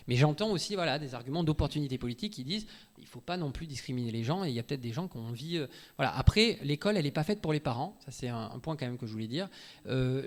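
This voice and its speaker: A man giving an informal talk as a guest legal expert, explaining and arguing his view with frequent asides and self-interruptions. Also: medium pitch. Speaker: guest speaker, law researcher. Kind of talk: informal talk answering audience questions.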